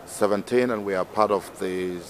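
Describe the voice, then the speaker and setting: A man interviewing guests on a convention floor, asking loud, enthusiastic questions. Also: on the low side, steady tone, normal speed. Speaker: interviewer, magazine editor. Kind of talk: informal on-the-spot interview. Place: busy convention hall exhibit floor. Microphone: camera on the table. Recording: crowded hall noise. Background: crowd chatter.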